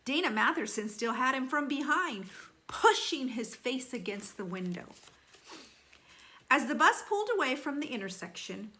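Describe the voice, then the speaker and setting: Bright, expressive woman reading to children. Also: on the high side. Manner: animated storytelling with deliberate emphasis and dramatic slowing. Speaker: teacher reading aloud to children. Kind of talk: expressive children's read-aloud.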